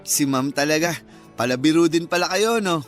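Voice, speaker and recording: An actor as a high-voiced, good-natured young man. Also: a little slow, soft background music, lightly acted. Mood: modest, amused. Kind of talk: Filipino radio drama acting.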